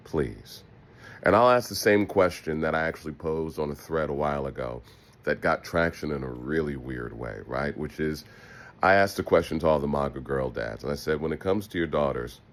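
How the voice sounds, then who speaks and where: An American man delivering an opinion monologue as a host, in a sardonic, emphatic, profane manner with rhetorical asides. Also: very deep voice. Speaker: political podcast host.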